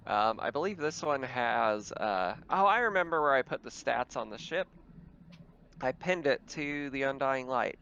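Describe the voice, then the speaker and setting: A man's voice: mid-range voice, expressive, moderate pace, creaky, bright, forceful tone. Male game master, casual tabletop chat, muffled and slightly noisy recording.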